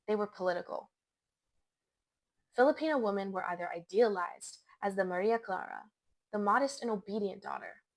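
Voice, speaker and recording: A young woman reading a scripted personal essay as a student presenter, in an earnest, measured way. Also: mid-range voice. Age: young adult.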